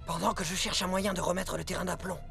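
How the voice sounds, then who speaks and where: A man in a French cartoon dub playing a resolute rebel helper with a deliberate, defiant manner. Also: high-pitched, steady tone, vocal fry, heavily acted. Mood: determined. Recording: loud music behind.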